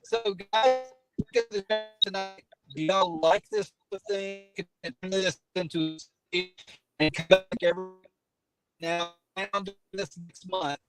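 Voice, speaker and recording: Higher-pitched man, casual co-host. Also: fairly even intonation, unhurried, music in the background, low-quality recording. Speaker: livestream co-host. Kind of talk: casual livestream chat.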